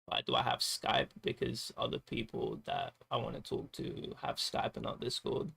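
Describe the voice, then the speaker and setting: A man streaming a game, his voice raspy and thick with gravelly fry. He sounds tired and rambles loosely, dropping casual swears as he chats. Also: medium pitch, normal speed, bright, forceful tone. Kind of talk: casual gaming stream chat.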